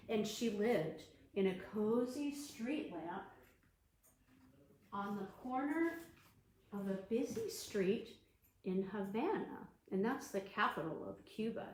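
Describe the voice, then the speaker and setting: A woman librarian reading a storybook aloud to children on a livestream, gentle and encouraging. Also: medium pitch, slight background noise. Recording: echoey room.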